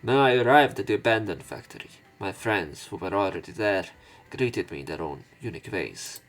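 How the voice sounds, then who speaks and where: A man's voice: low-pitched, lively intonation, normal speed. A man doing let's play commentary, reading game text aloud and adding informal, rambling, hesitant remarks. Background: soft game music.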